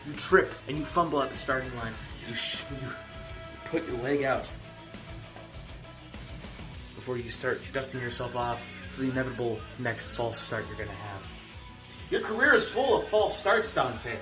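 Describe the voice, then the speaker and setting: A man cutting a trash-talking wrestling promo, taunting his opponent with loud bursts and low sneers. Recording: echoey room, far from mic, music behind.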